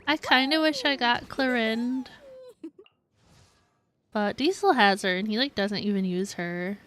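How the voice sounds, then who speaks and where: A woman's voice: on the high side, a little slow, a bit bright, a little hiss or hum. A woman streaming a video game, chatting casually and giggly with her viewers. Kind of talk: casual livestream chat with viewers.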